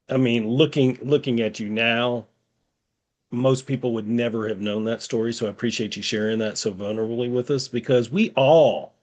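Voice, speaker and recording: A man hosting an interview, affirming his guest and asking gentle follow-up questions. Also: lowish voice. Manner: encouraging, affirming listener. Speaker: host.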